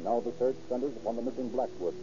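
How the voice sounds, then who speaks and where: A man's voice: mid-range voice, fast, music in the background. A man reading scripted narration as a 1930s radio announcer, with a formal, dramatic delivery. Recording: muffled 1930s radio recording.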